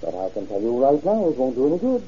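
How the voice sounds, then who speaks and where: An actor playing a formal, skeptical, dismissive office professional in an old radio crime drama. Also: male voice, medium pitch, huge pitch swings, fast, warm tone, breathy edge. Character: dry, skeptical office professional, unimpressed by threats. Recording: dull, muffled old radio recording.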